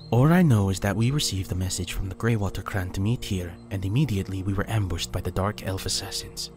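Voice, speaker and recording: Man playing a composed, low-voiced ninja. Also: a little mellow, faint music behind, close to an everyday voice. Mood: troubled. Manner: measured, serious. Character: composed, guarded male ninja warrior. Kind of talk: audiobook character dialogue.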